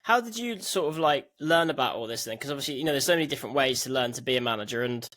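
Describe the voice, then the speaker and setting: A man's voice: mid-range voice, lively intonation, racing, fairly bright tone. A young man hosting a podcast, asking questions in a loose, chatty way into a studio microphone. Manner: chatty, loose, says "like" a lot. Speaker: podcast host. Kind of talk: casual interview questions. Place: home room. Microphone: studio mic on a stand.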